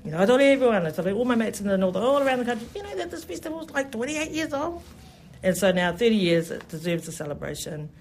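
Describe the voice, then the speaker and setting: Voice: mid-range voice, lively intonation, fast talker, hardly any pauses, darkish tone. Enthusiastic New Zealand-accented festival organiser. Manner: enthusiastic, heavy use of "you know" fillers. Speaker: festival event specialist, interview guest. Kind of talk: radio interview answers.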